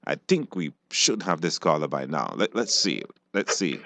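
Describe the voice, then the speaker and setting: A man's voice: very deep voice, big pitch swings, moderate pace, gravelly, a bit bright, no room sound. A man with a Belizean accent hosting a live radio call-in show and talking to guests and listeners.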